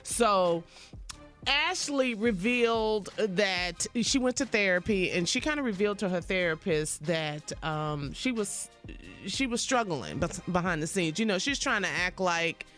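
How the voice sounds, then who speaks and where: Female gossip segment host, mid-pitched and chatty, faint music under the voice. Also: lively intonation, a little slow, a bit bright. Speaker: radio reality TV segment host. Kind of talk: chatty entertainment gossip recap.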